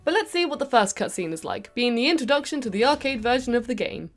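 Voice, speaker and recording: High-pitched British woman, chatty reviewer. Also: expressive, fast. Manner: chatty, jokey, playful British slang. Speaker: host of a video game review channel. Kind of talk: casual comedic review to camera.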